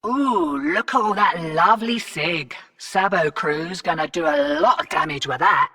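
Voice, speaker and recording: An actress playing a brash, sardonic British mercenary who gives cheeky, slangy, commanding mission orders in a video game, with distant, reverberant processing. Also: female voice, on the low side, unhurried, sharp tone. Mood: pleased, brisk.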